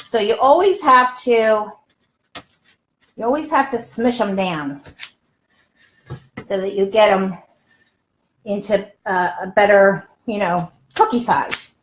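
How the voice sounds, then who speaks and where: A woman's voice: medium pitch, slow pace, pauses often. An older woman chatting casually and exclaiming to viewers as she hosts a live cooking demonstration from her home kitchen. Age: older, around 60s. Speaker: health coach and cooking show host. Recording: roomy, echoey home kitchen sound.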